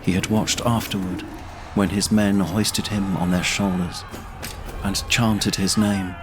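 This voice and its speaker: A man's voice: low-pitched, level tone, takes it slowly, background noise. A man narrating a scripted fantasy audio drama in a solemn storytelling manner.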